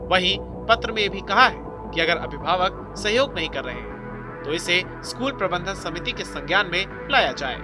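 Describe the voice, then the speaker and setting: A man's voice: high-pitched, lively intonation, normal speed. A man reading a scripted news report as the narrator. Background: music bed.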